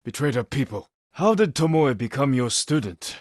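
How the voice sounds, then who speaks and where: An actor playing a grave, resolute samurai warrior in a video game, speaking in measured, solemn, terse lines with a husky voice. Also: male voice, medium pitch, very animated, dry recording, clean studio sound, natural voice. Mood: urgent, stern. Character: stoic, honour-bound samurai warrior, adult man, grave and resolute. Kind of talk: dramatic scripted game dialogue.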